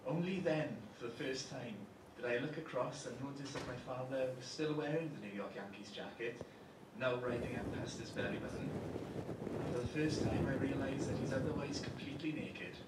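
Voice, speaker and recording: A man's voice: mid-range voice, normal speed. A young man narrating a scripted personal story in a dry, understated way. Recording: roomy, distant and noisy.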